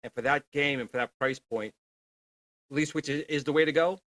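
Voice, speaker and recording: A man co-hosting a retro gaming livestream, chatting in an informal, animated way. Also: on the high side, normal speed, dry recording, studio quality. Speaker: co-host of a retro gaming show. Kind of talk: casual livestream chat.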